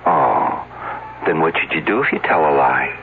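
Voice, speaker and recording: A gentle woman asks a child soft, curious questions. Her voice is very low for a woman and moves lively. It comes through a thin, tinny broadcast with faint noise. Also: moderate pace, gravelly, exaggerated voice.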